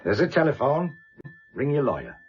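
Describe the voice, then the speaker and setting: An actor playing a wry, probing middle-aged British police inspector in a radio drama, unhurried and needling. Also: male voice, medium pitch, wildly swooping pitch, husky, full character voice. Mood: coolly needling. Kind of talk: naturalistic radio drama acting. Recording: dull lo-fi radio recording, music behind.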